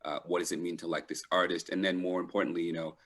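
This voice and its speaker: Very deep-voiced man, thoughtful. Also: level tone, a bit bright. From Trinidad and Toronto, Canada. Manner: thoughtful, halting, pausing to find words. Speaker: panel guest. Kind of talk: reflective conversational interview. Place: live online video call.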